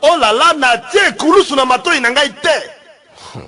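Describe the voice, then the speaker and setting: A man playing a cheeky, combative, bungling church interpreter in a comedy sketch, loudly echoing the preacher. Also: extremely high pitch, a little slow, exaggerated voice. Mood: earnest, forceful. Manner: loud, literal echoing of the preacher. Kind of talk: comic consecutive interpreting.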